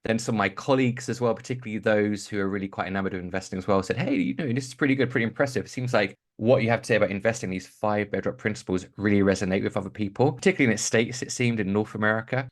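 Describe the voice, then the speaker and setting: A middle-aged man from Kent speaks as a podcast guest. His voice sits fairly low, and he answers questions in a loose, reflective way, circling back and restarting his sentences. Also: racing, hardly any pauses. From England, Kent. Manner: thoughtful, rambling, many restarts and fillers. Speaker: guest, investing author and podcaster. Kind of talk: conversational podcast interview answer.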